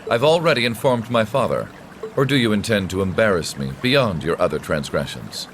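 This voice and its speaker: A man playing a composed, authoritative young nobleman in a video game, courteous and formal with quiet menace. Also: on the low side, animated, slight background noise, lightly acted. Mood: calm authority. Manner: polished, measured formal speech. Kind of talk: dramatic video game voice acting.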